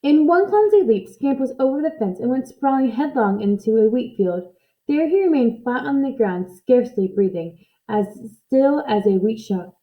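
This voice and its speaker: A woman reading a children's story aloud in an expressive narrating style. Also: fairly high pitch, normal speed, phone-quality sound. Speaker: storybook reader.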